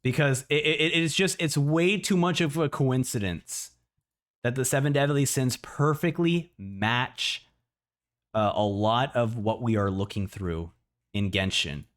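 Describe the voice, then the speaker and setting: A man in a casual livestream reaction, talking excitably and often repeating words as he thinks out loud. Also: mid-range voice, a little slow. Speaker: streamer reacting to a video.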